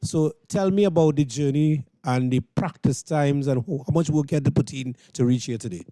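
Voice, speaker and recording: Man, Trinidadian accent, very soft dark tone, dry close sound, informal event interviewer. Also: fairly high pitch, brisk, no room sound. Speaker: interviewer and host.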